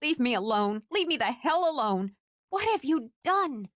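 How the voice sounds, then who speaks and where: A woman narrator voicing an indignant, tightly wound young woman who argues sharply and flares into shouting. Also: high voice, sing-song, unhurried, no room sound, studio quality, voice pushed up, heavily acted. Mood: furious.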